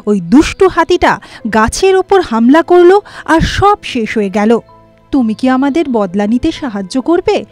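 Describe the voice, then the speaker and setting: A woman's voice: sits high, expressive, a little slow, a little mellow. Lady reading as an upset mama or papa bird in a kids' cartoon story, angry and grieving, voice swinging up and down with music under it.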